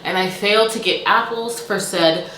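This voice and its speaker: A woman giving a casual book review to camera, expressive and emphatic in an articulate way. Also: mid-range voice, moderate pace, reverberant, a little hiss or hum, low-quality recording.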